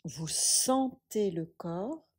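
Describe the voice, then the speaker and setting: A woman instructor guiding a yoga relaxation meditation, speaking softly and soothingly in a breathy, hushed voice with long pauses. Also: lowish voice, lively intonation.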